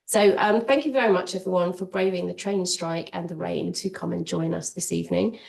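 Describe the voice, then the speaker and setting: A woman with a cold and a slightly croaky voice, moderating a fireside chat in a friendly, slightly rambling way. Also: fairly low pitch, steady tone, a little fast, darkish tone, recorded from a distance, low-quality recording. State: has a cold, a bit croaky. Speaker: interviewer and event moderator.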